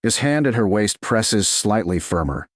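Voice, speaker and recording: Husky man narrating romance with swooping intonation. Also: on the low side, lively intonation, no echo, clean studio sound. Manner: measured, deliberate, short weighted phrases. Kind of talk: slow dramatic scripted audiobook narration.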